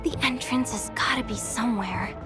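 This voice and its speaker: A woman's voice: a little high, normal speed, music in the background, close to an everyday voice. A woman voicing a plucky, flustered young female technician in an anime video game, often talking to herself. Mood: hurried.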